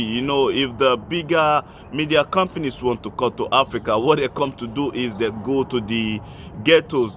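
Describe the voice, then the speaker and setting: A man's voice: mid-range voice, fairly bright tone, slight background noise. A man, hosting an online channel, speaking earnestly and directly to his listeners. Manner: earnest, appealing directly to listeners, repetitive listing. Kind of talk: impassioned speech to camera.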